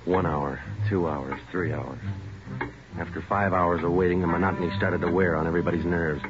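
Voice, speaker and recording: An actor playing a terse, world-weary American police detective, clipped and matter-of-fact in 1940s radio crime drama style. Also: male voice, low-pitched, steady tone, brisk, background noise, lightly acted. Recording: muffled 1940s radio recording.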